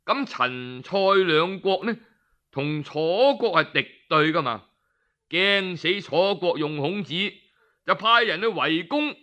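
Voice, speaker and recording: A man with a Cantonese accent narrating a traditional story in a colloquial, expressive storytelling style. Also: a little high. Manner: colloquial, expressive, with sighs and exclamations. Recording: slightly dull, lo-fi audio.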